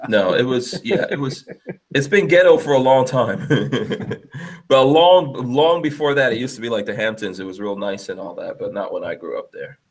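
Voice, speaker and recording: Laughing, animated podcast host man. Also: mid-range voice, moderate pace. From Guyana. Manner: animated, joking storyteller who laughs often. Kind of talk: casual chat. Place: home studio room. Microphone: headset on a video call.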